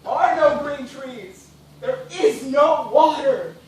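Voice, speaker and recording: An actor playing a weary, formal gentleman castaway in an amateur stage play, declaiming with stubborn reason and despair. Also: very high voice, expressive, slow, full character voice. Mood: angry, exasperated. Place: theatre stage, recorded from audience. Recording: echoey stage, distant mic, noisy.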